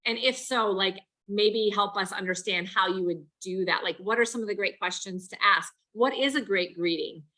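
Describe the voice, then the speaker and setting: A woman's voice: medium pitch, moderate pace, few pauses, sharp tone. A woman hosting an interview, encouraging and asking long, open questions. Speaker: host and real estate coach. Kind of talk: conversational interview.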